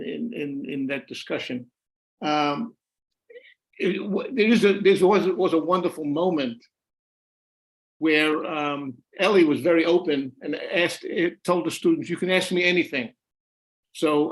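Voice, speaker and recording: Older man, halting and restarting. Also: fairly high pitch, low-quality recording. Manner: halting, many restarts and repeated words. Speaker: author and former journalist, guest. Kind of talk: conversational interview answers. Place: home room. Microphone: video call.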